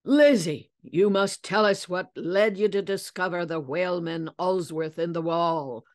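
A woman's voice: on the low side, measured pace, lightly acted. A woman voicing a grandiose, self-styled king, pompous and theatrical, in a storybook reading. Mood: eager.

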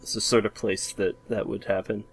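A man giving casual, slightly halting game commentary as a co-commentator. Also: fairly low pitch, level tone, creaky, soft background music, low-quality recording.

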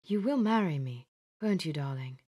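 A woman voicing a reserved, formal, old-fashioned gentleman who speaks quietly. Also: expressive, normal speed, slightly rough. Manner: formal, quiet, low-key.

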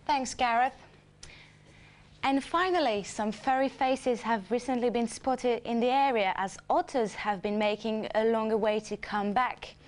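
Higher-pitched female news anchor. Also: measured pace. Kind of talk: scripted news presenting.

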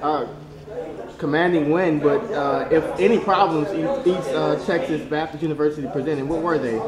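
High-voiced male interviewer, echoey arena. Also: steady tone, moderate pace. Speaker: sports interviewer. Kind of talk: postgame interview questions. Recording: echoey, loud crowd hubbub behind.

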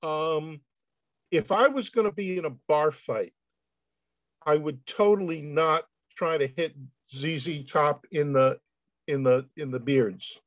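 High-pitched man explaining in halting bursts. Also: dry recording. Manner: halting, repeats words, excitable. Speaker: panel reader answering questions. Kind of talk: comic off-the-cuff explaining. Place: indoor room on a video call.

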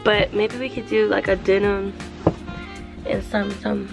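A young woman in her twenties chats and rambles to her viewers, speaking close to a handheld camera. Her voice is slightly hoarse from a cold, and soft music plays faintly behind her. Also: mid-range voice, level tone, unhurried. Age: 20s. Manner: chatty, rambling, talks straight to the viewers. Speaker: vlogger. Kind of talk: casual vlog chat. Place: small bedroom at home.

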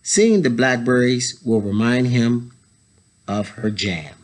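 A man in his thirties reading a children's picture book aloud, pausing to add casual explanatory asides for young listeners. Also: mid-range voice. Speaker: story time reader, educator. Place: indoors, school library.

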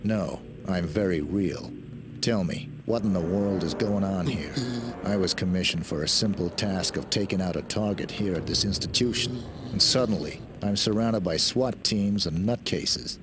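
An actor playing a cool, businesslike contract killer in a game, matter-of-fact and formal. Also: male voice, low voice, moderate pace. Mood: cool, puzzled. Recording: game audio with background noise.

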